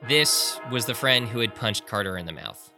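An actor playing a probing, measured public radio journalist in an audio drama, interviewing and narrating documentary style. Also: male voice, lowish voice, expressive, pushed and bright, full character voice. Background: instrumental music.

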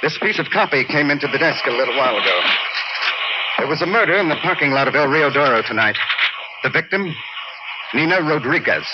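A man's voice: on the high side, animated, fairly quick, long pauses, lightly acted. An actor playing a matter-of-fact, sly male newsman in an old radio thriller. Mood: grave. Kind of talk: old-time radio adventure drama acting. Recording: muffled vintage radio, heavy noise.

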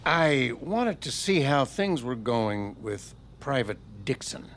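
An actor playing an impatient, sarcastic and commanding army corporal in an audio drama, with a clipped, threatening delivery. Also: male voice, medium pitch, big pitch swings, slow, fairly bright tone, slight background noise. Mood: impatient, hushed pressure.

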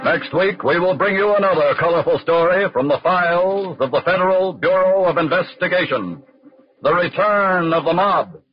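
A man's voice: high-pitched, animated, normal speed. A man with an American accent delivering scripted 1940s radio narration in a formal, grave, declamatory manner. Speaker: radio crime series narrator. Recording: dull, husky old radio recording, music.

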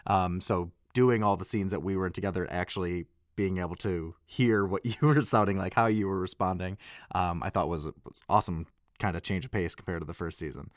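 A man's voice: sits low, fairly quick, no room sound, clean studio sound. A man talking casually as a voice actor guest on a podcast. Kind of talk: casual chat.

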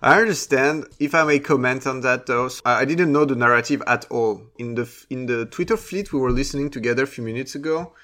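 A man's voice: mid-range voice, quick pace, few pauses, fairly bright tone. A man hosting a casual podcast interview, asking questions and often stumbling over his words. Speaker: podcast host.

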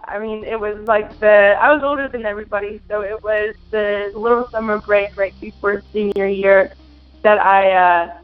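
A woman's voice: medium pitch, fairly even intonation, normal speed, highs cut off, soft background music. A twenty-year-old woman from Minnesota, answering interview questions in a hesitant way with many fillers and giggles. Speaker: interview guest.

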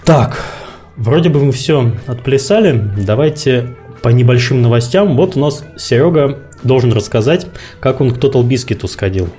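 A man with a mid-range voice co-hosts a live podcast. He explains things in a measured way and slips in light jokes. Faint music sits behind him. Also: mid-range voice, expressive, mellow. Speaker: podcast co-host. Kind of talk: live podcast hosting, announcing rules.

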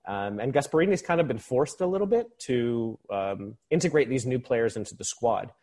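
A man's voice: medium pitch, animated, normal speed, darkish tone. A man in his thirties from the Philippines, talking casually about sports as a podcast guest. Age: 30s. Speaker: podcast guest, football supporters' club member. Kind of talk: casual conversational sports commentary.

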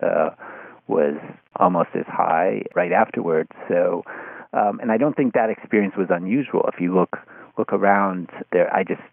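A man speaking as an interview guest in a thoughtful, halting conversational way, often restarting his phrases. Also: low-pitched, vocal fry, no echo. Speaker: interview guest, writer of an article on podcasts. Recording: phone-like narrow-band sound.

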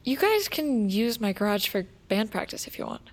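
A woman's voice: on the high side, very animated, moderate pace. A woman in an audio drama playing a guarded, awkward, grieving teenage girl with a hesitant, earnest manner. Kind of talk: naturalistic audio drama acting.

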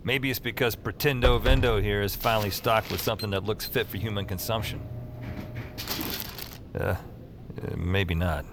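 A man's voice: fairly low pitch, steady tone, moderate pace, pauses often, noisy background, close to an everyday voice. An actor playing a wry, awkward man who takes calls, in slangy audio drama narration and dialogue. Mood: wry, upbeat. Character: wry, awkward male call-taker new to a magical world.

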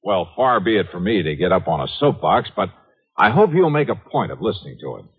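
Tinny old radio show, wry hard-boiled detective, husky voice, punchy and up n down. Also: male voice, low-pitched, sing-song, fairly quick, exaggerated voice. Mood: brisk, wry. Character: sharp, wry, no-nonsense male insurance investigator. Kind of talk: 1950s radio detective drama acting. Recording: tinny, narrow-band 1950s radio recording.

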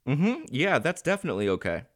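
A man's voice: fairly high pitch, huge pitch swings, fast, no room sound. A man co-hosting a casual podcast livestream from his in-laws' home, teasing his co-host with dry humor. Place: in-laws' home, Palm Springs.

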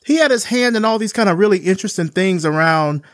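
A man's voice: high-pitched, lively intonation, fast talker, no echo. A man hosting a podcast, chatting loosely with lots of "like" fillers and telling stories with animation. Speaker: podcast host. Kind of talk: casual interview chat.

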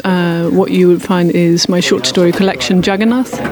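A young adult woman from Sweden with a Swedish accent, a native Swedish speaker, answering interview questions in a thoughtful, halting, self-deprecating way at a convention. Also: lowish voice, steady tone. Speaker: author guest. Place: convention venue. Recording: chatter of a convention crowd behind.

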